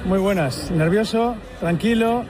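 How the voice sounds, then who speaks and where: A man's voice: sits high, a little slow, crowd noise, background noise. A man reporting live as a roving sports journalist outside a stadium.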